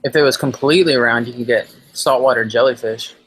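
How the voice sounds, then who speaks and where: A man's voice: mid-range voice, fairly even intonation, fairly quick, fairly bright tone, a little hiss or hum, rough recording. Hesitant man chatting as a stream guest. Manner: hesitant, restarts sentences. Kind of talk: casual conversation.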